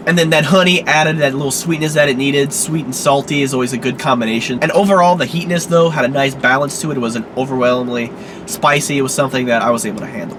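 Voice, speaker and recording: A man hosting a casual food review vlog, talking in an easygoing, rambling way with many fillers, sounding a little congested and hoarse. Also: mid-range voice, background noise.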